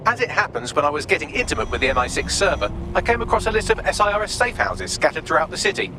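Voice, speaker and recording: An actor playing a sarcastic British artificial intelligence assistant in a video game, flippant and wordy. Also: male voice, on the high side, expressive, quick pace, background noise, natural voice. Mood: breezy.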